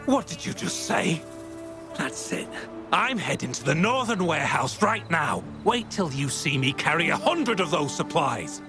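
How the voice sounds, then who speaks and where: A boastful, hot-headed game labourer, blustering in a highish, very animated voice. Music is clearly audible underneath. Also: male voice, unhurried. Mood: indignant boasting. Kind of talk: scripted game voice acting.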